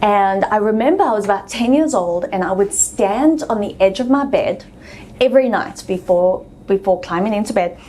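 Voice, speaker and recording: A woman's voice: medium pitch, lively intonation, normal speed, dark tone. A woman in her thirties with a South African accent, telling her story as an interview guest in a chatty way with frequent ums.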